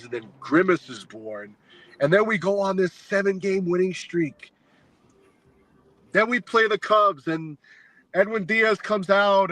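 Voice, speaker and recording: A man as a podcast guest, telling a long fan story in a casual, animated, rambling way with lots of filler words. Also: on the high side, poor audio. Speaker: guest, fan sign maker.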